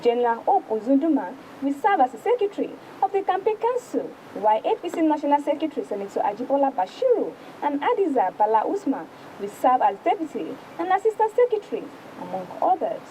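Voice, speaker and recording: Woman, Nigerian accent, high lively voice, news presenter, formal read-aloud with stumbles, faint rumbling noise. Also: fast talker, poor audio. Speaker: presenter reading newspaper review. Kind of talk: news reading and paper review.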